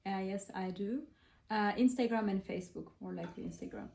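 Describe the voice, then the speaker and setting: A woman's voice: medium pitch, brisk, darkish tone, a little hiss or hum. A woman in her twenties, a student, giving casual interview answers. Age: 20s. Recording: echoey, roomy sound.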